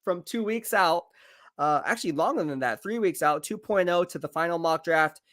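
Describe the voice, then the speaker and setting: A man live-streaming football draft commentary, excitable and hyping each pick, his voice going hoarse. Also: on the high side, moderate pace, no room sound, studio quality. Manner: excitable, hypes picks, reads chat aloud. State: losing his voice.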